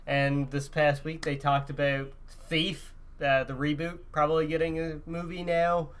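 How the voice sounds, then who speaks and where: A man with a fairly high voice talks as a podcast co-host. His delivery is casual and conversational, with informal, unpolished phrasing. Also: little pitch movement, unhurried, a bit bright, clear, resonant tone. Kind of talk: casual chat about video games and movies.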